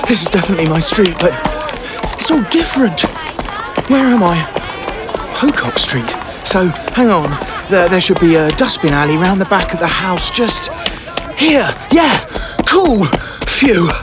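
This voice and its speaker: Brief: bewildered time-lost lad, jumpy pitch, music under the lines. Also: male voice, sing-song, a little slow. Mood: amazed. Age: young adult. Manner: awkward, apologetic, flustered. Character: bewildered modern young man lost in the past.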